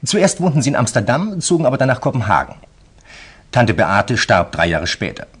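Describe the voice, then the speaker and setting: An actor playing an anxious, eager merchant in a German radio drama, polite and earnest with halting hesitations. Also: male voice, medium pitch, big pitch swings, fairly bright tone, husky. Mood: earnest, explaining. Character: anxious, eager male merchant seeking a detective's help.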